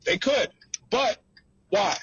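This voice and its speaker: A man's voice: slow, fairly bright tone, dry recording. A man speaking casually in an audio chat room, recorded in his car through wireless earphones, with a tinny sound. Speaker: podcast host heard in a recorded audio chat. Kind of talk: casual commentary.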